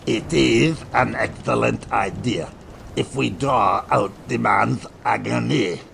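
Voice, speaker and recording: A man voices a sadistic, practical pirate sidekick for an audiobook drama. His voice is thick with creak and grit, and he takes his lines slowly. Faint ambience runs underneath. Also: mid-range voice, fairly bright tone, slight background noise, exaggerated voice. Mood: eager. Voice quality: gravelly, grunting. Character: sadistic gruff pirate henchman, eager yet practical. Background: faint ambient sea sound.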